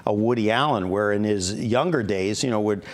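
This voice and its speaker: A man hosts an interview in a TV studio. His voice sits a little low. He fills his pauses with "uh" as he works through each sentence. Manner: halting, many "uh" fillers. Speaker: talk show host.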